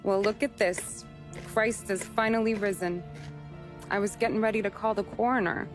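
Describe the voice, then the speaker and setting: An actress playing a shrewd, suspicious working-class wife in a video game, dry and sarcastic. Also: female voice, medium pitch, steady tone, normal speed, music in the background, close to an everyday voice. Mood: dry sarcasm. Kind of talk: cinematic video game acting.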